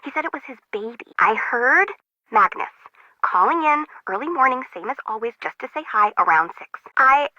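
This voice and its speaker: Quirky, anxious young female radio operator for a game, played by a woman: animated, slangy, slightly muffled radio sound. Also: a little high, moderate pace, no echo, natural voice. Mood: scared, shaken. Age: young adult. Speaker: harbor control tower operator. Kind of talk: video game voice acting.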